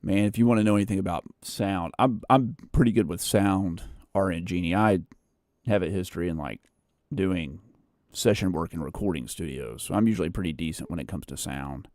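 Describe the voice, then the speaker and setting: Guy streamer w deep bassy voice, mellow, just rambling casually into a nice studio mic. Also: normal speed, dry recording. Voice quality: naturally bassy voice. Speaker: livestream host editing a video. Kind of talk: casual livestream chat while editing. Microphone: studio condenser microphone, close.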